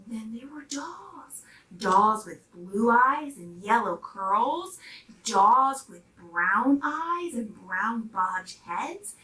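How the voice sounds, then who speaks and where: High, animated woman reading a storybook. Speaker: children's story reader. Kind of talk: expressive storybook narration for children. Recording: roomy, distant microphone.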